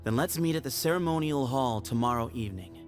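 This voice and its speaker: A proud young temple leader, voiced by a man in game dialogue. He speaks at an even middle pitch, firm and formal. Faint music sits in the background. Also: quick pace, lightly acted.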